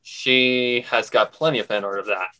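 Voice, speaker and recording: A woman with an extremely deep voice talks casually on a livestream. Her manner is offhand and informal. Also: steady tone, normal speed, sharp tone, rough recording.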